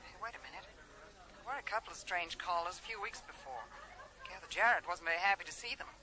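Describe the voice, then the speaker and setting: An actress playing a sharp, candid American wife of a missing man, brisk and wry, in a radio drama. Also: fairly low pitch, lively intonation, lightly acted. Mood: wry, alert. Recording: noisy hiss, old radio recording.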